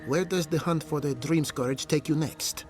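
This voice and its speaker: An actor playing a wary, grave animancer in a video game, speaking in a measured, formal way. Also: male voice, fairly high pitch, a little mellow, soft background music, natural voice. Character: wary, grave male animancer favouring caution. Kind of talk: scripted video game dialogue.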